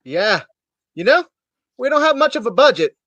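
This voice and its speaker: A man's voice: very high voice, big pitch swings, normal speed, bright, forceful tone, no echo, lo-fi sound, exaggerated voice. A man playing a folksy, blunt small-town amateur thespian with juvenile humor, grumbling his way through a staged reading. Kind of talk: community theater staged reading.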